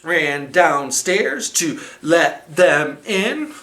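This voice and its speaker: A man reading a storybook aloud to children as a storytime host, expressive, with dramatic pauses and emphasis. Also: on the high side. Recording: roomy, echoing room sound.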